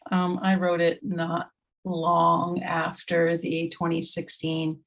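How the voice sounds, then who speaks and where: A woman's voice: lowish voice, completely flat delivery, slow pace. A woman, a guest poet, speaking in a frank, wry way. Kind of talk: conversational interview answers.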